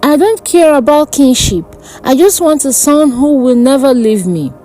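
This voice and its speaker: A woman voicing a desperate childless village wife in a dark folk tale, pleading and sobbing. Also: sits high, lively intonation, normal speed, faint music behind, voice pushed up, rough recording.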